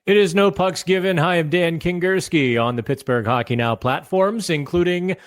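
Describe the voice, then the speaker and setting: A man hosting a casual solo podcast from a hotel room, slightly raspy and rambling, with fillers and restarts. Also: fairly high pitch, normal speed. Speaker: podcast host.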